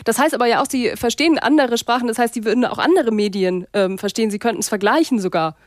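A woman's voice: on the high side, fast, no room sound. Polite woman radio host, dry studio. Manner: polite, probing questions. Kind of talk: radio phone-in moderation.

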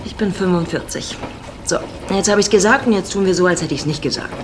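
A woman's voice: on the low side, fairly quick, noisy background, close to an everyday voice. An actress playing a worldly, flirtatious married woman in her forties, sardonic and self-assured, in a radio drama. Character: worldly, teasing 45-year-old married Mexican woman.

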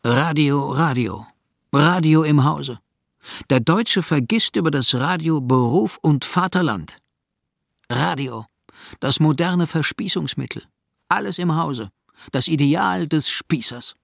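Creaky man reading quotations slowly. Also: a little high, no echo, studio quality. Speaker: reader of historical quotations. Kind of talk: dramatic scripted quote reading.

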